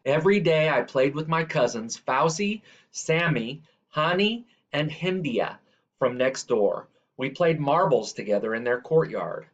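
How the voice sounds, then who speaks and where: A man reading a children's picture book aloud in a measured, deliberate way. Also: a little high, animated. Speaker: reader. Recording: dull, lo-fi sound.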